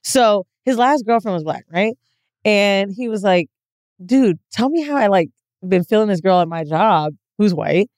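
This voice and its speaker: A woman hosting a podcast in casual chat, blunt and animated, laughing easily. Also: medium pitch, a little slow, no room sound, studio quality.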